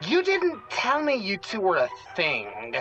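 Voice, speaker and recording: A woman's voice: medium pitch, huge pitch swings, unhurried, pushed and bright, muffled recording, heavily acted. A woman playing an affronted reality TV housewife in a parody melodrama. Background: music.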